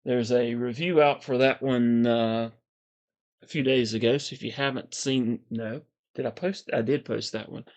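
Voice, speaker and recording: A man with a calm, soothing voice, hosting a casual livestream, reading viewer comments in a relaxed, meandering way. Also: mid-range voice, normal speed. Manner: relaxed, meandering, frequent fillers and soft chuckles. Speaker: livestream host.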